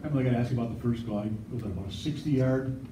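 A man's voice: mid-range voice, very fast. A man, a local reporter, asking rambling questions at a press conference. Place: press room. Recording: distant, echoey, noisy.

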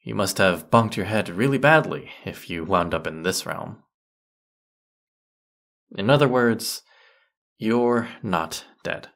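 Man acting a low, gravelly, grumpy teapot spirit. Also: animated, slow, pauses often, fairly bright tone, heavily acted. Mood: scolding, dismissive. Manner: scolding, sarcastic, put-upon. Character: grumpy, haughty teapot spirit over a hundred years old. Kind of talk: scripted audio roleplay addressed to the listener.

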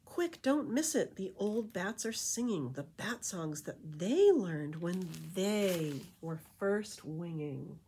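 A middle-aged woman reads a children's picture book aloud as a librarian, with rhythmic, animated storytelling and casual asides. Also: lowish voice, takes it slowly, few pauses, slight background noise. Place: indoor room with painted wall.

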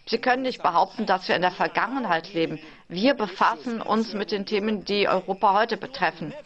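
A woman's voice: medium pitch, brisk, highs cut off. A woman working as an interpreter, giving a simultaneous translation of a parliamentary debate.

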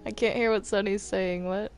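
A woman chatting casually and a little haltingly while playing a game with friends. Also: mid-range voice, steady tone, a little mellow, soft background music. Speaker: player in a Minecraft roleplay group. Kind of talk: casual gaming chat.

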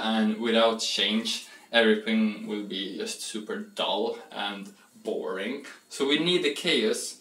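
A man's voice: on the low side, a bit bright. Rambling young man in an echoey kitchen. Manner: rambling, stumbling restarts, playful. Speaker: cooking show host. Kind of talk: casual cooking vlog chat. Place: small home kitchen, indoors. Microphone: camera mic from across the room. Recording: echoey kitchen, distant from mic.

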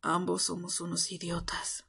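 A performer playing a miserable young half-vampire man in love, husky and confiding, in an intimate ASMR roleplay. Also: mid-range voice, monotone, unhurried, bright, forceful tone, full character voice. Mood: hurt, sad.

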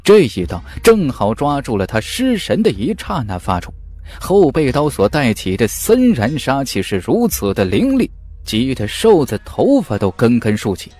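A man narrating a fantasy novel audiobook in an animated, dramatic storytelling manner. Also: medium pitch, normal speed, faint music behind.

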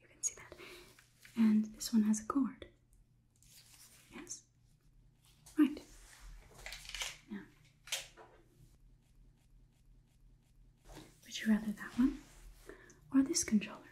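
A young woman performing an ASMR roleplay as a calm robot overlord, whispering in a measured, inquisitive, slightly condescending manner. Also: fairly high pitch, lightly acted. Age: young adult. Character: calm, clinical robot overlord examining a human. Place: small indoor room.

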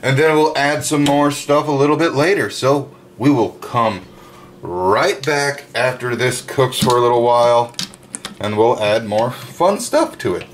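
A man casually narrating his home cooking step by step, chatty and relaxed, with plenty of fillers, recorded in a kitchen with the windows open. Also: mid-range voice, measured pace, a little hiss or hum.

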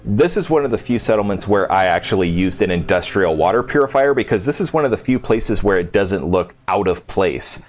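Low voiced guy doing laid out casual game tour commentary, just thinking out loud, music behind him. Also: animated, fairly quick, hardly any pauses. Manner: informal, unscripted, thinking aloud. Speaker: video game settlement-build host. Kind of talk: casual gameplay walkthrough commentary.

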